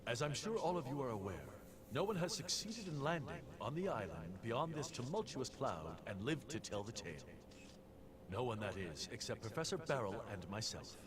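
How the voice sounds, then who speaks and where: An actor playing a determined older explorer in a video game, speaking formally and showily like a practised orator. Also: male voice, medium pitch, big pitch swings, normal speed, a crowd in the background, close to an everyday voice. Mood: grand, formal. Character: determined, grandiose older explorer addressing the press. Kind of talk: video game cutscene acting, formal speech. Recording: noisy game audio from a stream.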